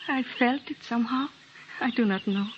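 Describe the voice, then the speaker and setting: A woman's voice: a little high, natural voice. An actress playing a mysterious young village woman of twenty, speaking formally and solemnly in an old-time radio drama. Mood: anxious, earnest. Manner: formal, solemn, deliberate phrasing. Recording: dull, faintly noisy old radio recording.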